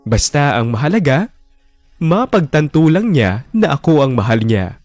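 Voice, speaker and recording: A man's voice: on the high side, sing-song, moderate pace, dry recording. Brooding male drama narrator. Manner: brooding, heartbroken confession. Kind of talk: first-person radio drama narration. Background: soft music bed.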